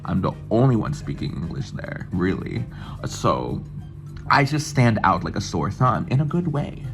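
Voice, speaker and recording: A young man from the United States telling an excited, swearing storytime vlog and mimicking other people's voices as he goes. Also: fairly low pitch, unhurried, vocal fry, music in the background. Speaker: vlogger. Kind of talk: casual storytime chat.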